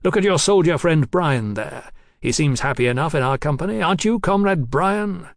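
Man putting on a high, haughty young revolutionary zealot. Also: animated, normal speed, creaky, no room sound, clean studio sound. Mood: fervent. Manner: declamatory, oratorical, speechifying. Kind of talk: audiobook character acting.

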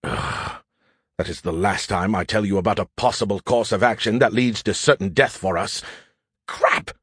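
A man voicing an arrogant, excitable alien artificial intelligence in an audiobook, with a sarcastic, condescending, theatrical manner. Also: on the low side, moderate pace, breathy edge, no room sound, studio quality. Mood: indignant. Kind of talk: audiobook character voice.

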